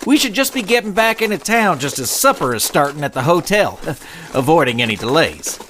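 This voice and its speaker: Guy doing a polite earnest cowboy deputy fish, up and down pitch, folksy good guy, western radio play. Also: very animated, moderate pace, fairly bright tone, a little hiss or hum. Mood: friendly. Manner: courteous, earnest, mild folksy talk. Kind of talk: Western comedy audio drama acting.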